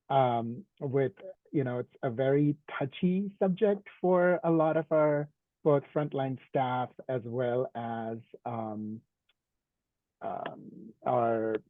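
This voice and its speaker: A man speaking with a hoarse, sore-throated voice as he presents to a video call, easygoing and full of "um"s, stopping now and then to clear his throat. The audio is squeezed and phone-like. It is completely dry, with no room echo. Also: mid-range voice. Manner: clears throat often, conversational with fillers. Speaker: guest speaker, physician.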